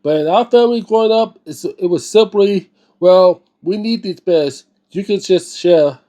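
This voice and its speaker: A man with a high-pitched, mellow voice reads aloud like the host of an informal book series. His delivery is halting, and he often trips over and repeats words. His phrasing is casual and slangy when he comments. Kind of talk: dramatic book reading with informal spoken commentary.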